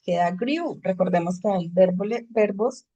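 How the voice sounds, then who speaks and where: A woman's voice: mid-range voice, moderate pace, dark tone, muffled recording. Woman teaching an English class. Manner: explains in Spanish, switches to English for examples. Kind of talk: online class lecture.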